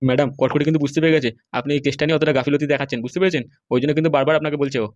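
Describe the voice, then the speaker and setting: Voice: mid-range voice, fairly even intonation, racing, a little mellow, no room sound, muffled recording, lightly acted. A performer voicing a fawning, gossipy sidekick in a cartoon comedy, eager and deferential. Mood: tattling. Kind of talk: amateur cartoon comedy dialogue.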